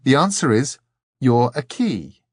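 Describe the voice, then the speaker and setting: A man's voice: mid-range voice, sing-song, measured pace, breathy edge, no room sound, studio quality, pitched above the performer's own voice. A man voicing a thoughtful young noblewoman in an audiobook, musing and tentative as she reasons aloud. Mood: realizing.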